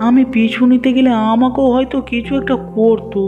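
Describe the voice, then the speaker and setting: A man's voice: very high voice, flat delivery, brisk, phone-quality sound. Male actor as young village neighbour, alarmed, urgent, melodramatic, cartoon dub, faint music bed. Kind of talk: Bengali fairy-tale cartoon dub.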